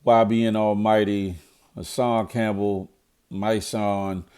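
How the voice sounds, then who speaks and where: A man's voice: fairly low pitch, warm tone. A middle-aged man with a nasal, cold-stuffed voice, giving a blunt, preachy commentary monologue as a vlogger. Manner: blunt, preachy, repeats himself when fired up. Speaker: vlogger commenting on current events.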